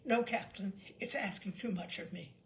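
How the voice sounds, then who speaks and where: A woman's voice: mid-range voice, normal speed, close to an everyday voice. A woman voicing a young male ship's mate, earnest and stubbornly protesting, in a storybook reading.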